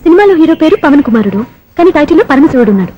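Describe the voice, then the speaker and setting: A woman's voice: high voice, big pitch swings, very fast, dull audio, loud music behind the voice. A woman playing a teasing, cheeky young woman in an Indian film comedy. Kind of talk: Telugu film comedy acting.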